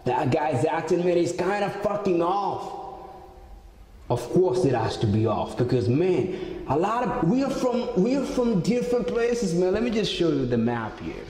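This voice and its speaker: A man's voice: fairly high pitch, sing-song, moderate pace, mellow, heavy reverb, long reverb tail, poor audio. A young man ranting to the camera in a small room, angry and emphatic, swearing often, into an earphone mic. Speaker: vlogger. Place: small indoor room with maps on wall.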